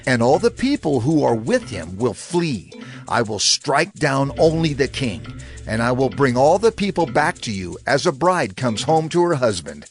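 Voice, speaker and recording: Ruthless scheming court adviser in bible drama, deliberate, lively medium voice, music under. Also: male voice, medium pitch. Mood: scheming, resolute. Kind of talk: dramatized audio Bible acting.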